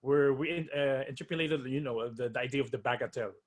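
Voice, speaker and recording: A man joins a casual online talk among composers. He speaks hesitantly, filling gaps with fillers while he searches for the words. His voice is mid-pitched and ordinary. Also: fast. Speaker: composer, panel guest.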